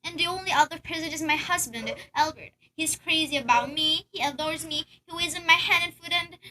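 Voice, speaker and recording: A woman's voice: very high-pitched, fairly quick, sharp tone, slight background noise, rough recording. A woman playing a nervous, demanding invalid wife in an amateur radio play, stammering and insistent. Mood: uneasy, pleading.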